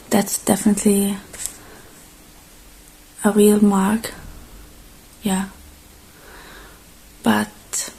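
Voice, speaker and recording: A woman doing a gentle, unhurried ASMR roleplay, speaking softly and slipping into breathy whispers close to the microphone. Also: mid-range voice, rough recording. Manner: gentle, unhurried, murmuring to the listener. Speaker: ASMR creator playing a robot repair technician.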